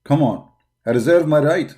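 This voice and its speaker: A man's voice: fairly high pitch, normal speed, warm tone. A man hosting a live stream, mocking and arguing back at a video he plays, and laughing often. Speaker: debate host reacting to a video.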